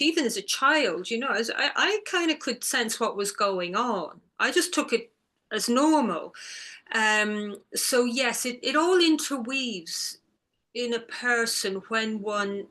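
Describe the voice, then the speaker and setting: A woman's voice: fairly high pitch, animated, measured pace, sharp tone. A woman from Ireland, an author answering interview questions thoughtfully and with some hesitation. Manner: thoughtful, hesitant, with many ums. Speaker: author and guest.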